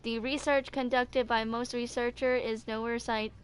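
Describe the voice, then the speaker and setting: A woman's voice: a little high, little pitch movement, moderate pace, clear, resonant tone. A woman in her twenties casually reading game character lore aloud, stumbling and correcting herself as a video host. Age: 20s.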